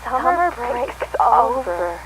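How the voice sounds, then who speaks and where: A woman playing an eerie dead woman calling from beyond in halting fragments, for a live radio drama. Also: a little high, big pitch swings. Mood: eerie, fragmented. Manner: halting, fragmented, drawn-out phrases. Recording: loud radio-like noise over the voice.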